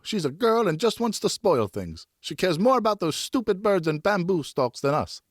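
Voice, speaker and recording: A man's voice: high voice, very animated, moderate pace, dry recording, clean studio sound, pitched above the performer's own voice. A man voicing a defiant, argumentative young boy in an audiobook story. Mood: indignant. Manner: argumentative, protesting. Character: indignant, defiant young boy, son of the narrator.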